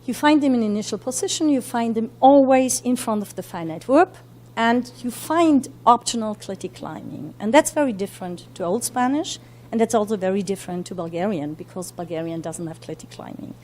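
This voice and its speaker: Fast-talking woman lecturing with many fillers. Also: mid-range voice, animated, warm tone. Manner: talks quickly with many fillers and self-corrections. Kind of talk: academic lecture. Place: university lecture room.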